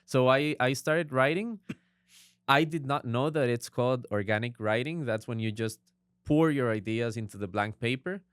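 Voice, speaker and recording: A man's voice: mid-range voice, normal speed, clean tone, no room sound, studio quality. A young Costa Rican man, a native Spanish speaker talking in English, answering interview questions earnestly and often murmuring agreement. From Costa Rica. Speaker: guest author. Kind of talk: casual interview.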